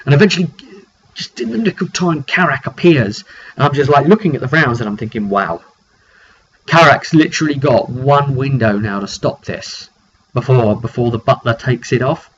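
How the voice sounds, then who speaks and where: A man's voice: mid-range voice, moderate pace, fairly bright tone. British guy chatting through his tabletop game recap, dry little asides, kinda muffled lo-fi audio w some noise. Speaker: game master recounting a tabletop roleplay session. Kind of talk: casual unscripted recap to camera. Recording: dull lo-fi sound with clear background noise.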